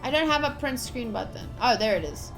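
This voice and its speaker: A woman livestreaming a visual novel playthrough, reading the text aloud with excitable, playfully dramatic and casual commentary. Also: on the high side, fairly quick, faint music behind, low-quality recording. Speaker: streamer playing a visual novel.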